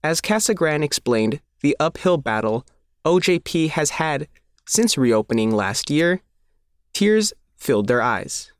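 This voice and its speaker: A man narrates a scripted feature story as a reporter. His voice sits a little high and moves with animated intonation. The sound is very dry and close. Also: measured pace, dry recording. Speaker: reporter reading their own article.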